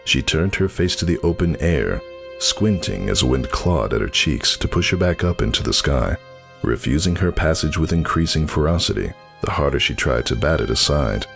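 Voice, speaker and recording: A man's voice: extremely low pitch, animated, normal speed, creaky, fairly bright tone, faint music behind. A man narrating a story as a scripted dramatic reading.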